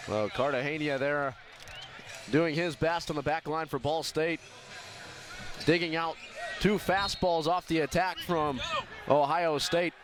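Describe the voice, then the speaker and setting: A man's voice: fairly high pitch, fairly even intonation, measured pace, long pauses. An American man giving live volleyball analysis as colour commentator, explaining plays with emphasis on key words. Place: indoor sports arena during a match. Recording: clear gym noise, bouncing balls. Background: arena noise, balls bouncing.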